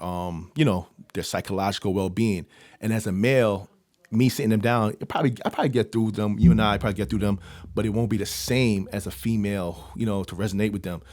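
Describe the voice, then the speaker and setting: A Jamaican-born man who mentors young people talks quickly in a fairly low voice. He comes across energetic and keeps restarting and repeating words. The recording is close and dry. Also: no room sound. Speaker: youth mentor and coach. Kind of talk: casual interview talk.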